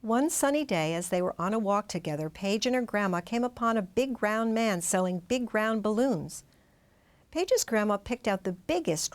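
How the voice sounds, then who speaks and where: A woman reading a children's storybook aloud in expressive, dramatic narration, drawing out some words. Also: mid-range voice. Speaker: storybook reader.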